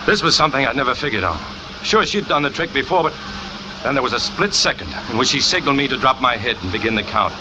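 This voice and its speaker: An actor playing a brooding trapeze artist who confesses in an intense, dramatic first-person radio thriller narration. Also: male voice, mid-range voice, expressive, fast, noisy background, lightly acted. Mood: desperate. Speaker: narrating lead character.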